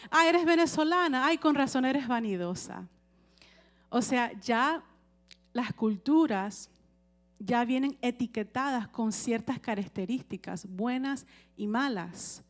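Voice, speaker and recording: A woman's voice: a little high, lively intonation, slow, faint music behind. A woman preaching a sermon in a conversational way, often checking with the congregation and asking for amens.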